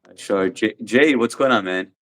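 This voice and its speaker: A man's voice: fairly low pitch, normal speed. A man hosting a casual livestream, greeting viewers and reading the chat aloud in an easygoing way. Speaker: livestream host.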